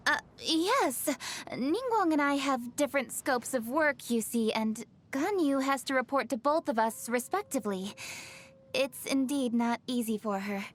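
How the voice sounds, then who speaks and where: High-voiced, poised young official. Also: female voice, unhurried. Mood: uneasy, covering. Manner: composed, courteous, formal. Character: poised, businesslike young female city official. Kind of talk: video game character acting.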